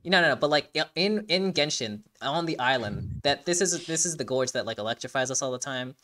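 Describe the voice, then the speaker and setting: Fast-talking, bright-voiced rambling video host. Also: medium pitch, animated. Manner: rambling, stumbling, repeats phrases while searching for words; enthusiastic. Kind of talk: casual reaction and breakdown commentary.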